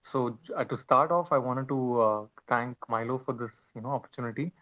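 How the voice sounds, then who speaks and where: A man speaking up as an audience member on a live online panel, halting and hesitant, over dull, low-fidelity audio. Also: bright, forceful tone. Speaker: audience speaker asking a question. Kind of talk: casual spoken question on a live online panel.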